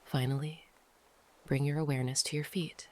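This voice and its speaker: A gentle, breathy, often whispering meditation guide speaking slowly and calmly, with long pauses between phrases. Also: medium pitch. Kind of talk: slow, soothing guided meditation narration. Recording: noisy, ambient sound behind the voice.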